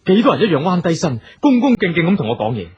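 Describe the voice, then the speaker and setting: An actor playing a bitter, suspicious eldest brother, a wheelchair-bound company boss, scornful and domineering in a radio drama. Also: male voice, high voice, big pitch swings, fast, a crowd in the background. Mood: defiant. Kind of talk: Cantonese radio drama acting. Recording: dull radio drama recording, music behind.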